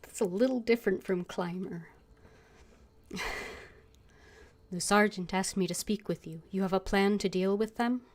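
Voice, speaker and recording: Female game streamer, medium pitch, reading dialogue, wry casual asides. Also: unhurried, pauses often. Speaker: gaming streamer voicing her player character.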